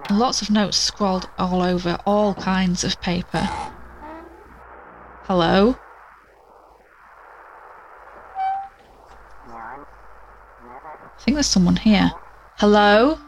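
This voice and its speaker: A woman's voice: medium pitch, natural voice. A woman playing a plucky, curious investigator in an audio drama, chatting to listeners with wry asides as she searches. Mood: uneasy, brave.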